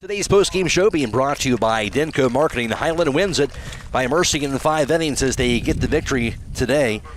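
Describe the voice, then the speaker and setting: An American man gives a baseball post-game recap as a radio sportscaster. His voice sits at a medium pitch, with clear ambient noise from the ballpark behind him. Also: animated, a little fast.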